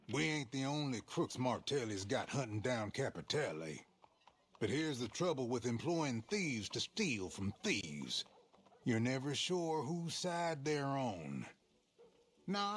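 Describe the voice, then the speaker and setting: An actor playing a sly, rough Old West outlaw with an American Western accent, husky and conspiratorial, in a game cutscene. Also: male voice, mid-range voice. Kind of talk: video game cutscene acting.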